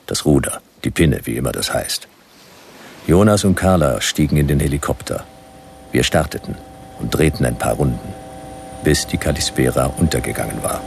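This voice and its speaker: An actor playing a laconic private detective who narrates his adventure in clipped, deadpan radio-play style. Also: rumbling low, creaky, background noise.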